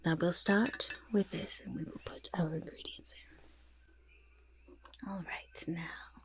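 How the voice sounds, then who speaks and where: A woman voicing a boastful, eager goblin in a soft-spoken ASMR roleplay, with a raspy half-whisper and fussy, repetitive phrasing. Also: lowish voice, fairly even intonation, slow pace. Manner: speaks of self in third person, fussy, emphatic repetition. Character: boastful, eager goblin potion-peddler of playful temper.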